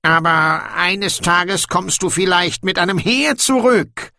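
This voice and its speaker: A man voicing a proud, sly, sardonic dwarf king in an audiobook, with a slow, knowing delivery. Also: high-pitched, gravelly, pushed and bright, no echo, studio quality, voice pushed up, exaggerated voice. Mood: grumbling.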